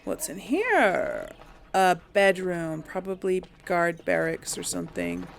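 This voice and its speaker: A woman's voice: on the low side, wildly swooping pitch, slow, creaky, slight background noise. A woman giving casual, rambling video game commentary, thinking aloud hesitantly and poking fun at herself. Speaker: gamer, let's play host.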